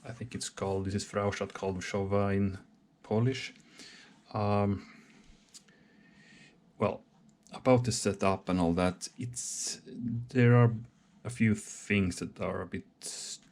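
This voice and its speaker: A man thinking aloud while he sets up a solo wargame, talking hesitantly with many pauses and restarts. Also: lowish voice, steady tone, a little mellow. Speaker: solo board game player.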